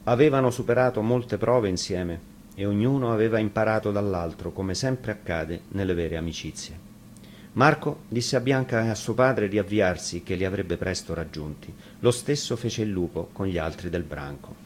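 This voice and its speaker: Low-voiced man narrating a fairy tale. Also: moderate pace, a little hiss or hum. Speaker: storyteller.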